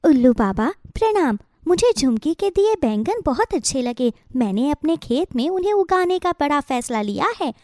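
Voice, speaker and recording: Little female cartoon bird, played by a woman: high, lively pitch, dry sound, eager and determined. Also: normal speed, few pauses, dry recording. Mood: earnest, pleading. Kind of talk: Hindi children's cartoon story acting.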